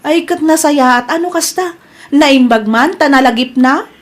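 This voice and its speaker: A woman's voice: sits high, moderate pace, lightly acted. A woman playing a gossipy, complaining shopkeeper in an Ilocano family comedy drama. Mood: pleased, gossipy.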